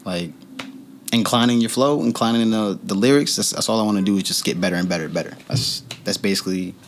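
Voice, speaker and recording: Laid-back young rapper, low voice. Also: male voice, fast, a little hiss or hum. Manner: loose, laughing, rambling with restarts. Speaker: guest rapper. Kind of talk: casual interview chat.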